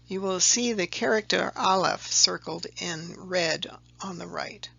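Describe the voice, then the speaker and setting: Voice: medium pitch, unhurried, gravelly, sharp tone, slightly rough, dry recording, muffled recording. A presenter giving a slide-by-slide voice-over explanation of a table, methodical and step by step, sometimes correcting themselves. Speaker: video presenter explaining a letter-number table.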